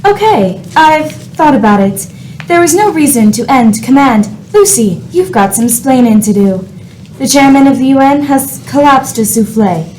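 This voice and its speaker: Cool AI ship computer, voiced by a woman, highish pitch, polite, unsympathetic, slight noise. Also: animated, normal speed, darkish tone, rough recording. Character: calm, unsympathetic ship's computer with artificial intelligence. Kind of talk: comedic radio play acting.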